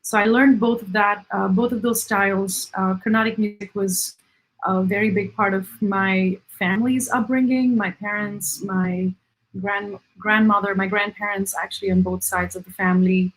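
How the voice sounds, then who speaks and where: A woman's voice: mid-range voice, steady tone, normal speed, poor audio. A woman from India, a musician, answering interview questions with many ums and restarts. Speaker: musician guest.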